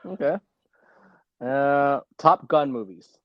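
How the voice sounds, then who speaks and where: A man's voice: mid-range voice, expressive, slow pace, no room sound. Male interviewer. Short casual prompts and reactions, close dry sound, slightly lo-fi. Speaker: podcast host, interviewer. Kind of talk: casual word-association interview.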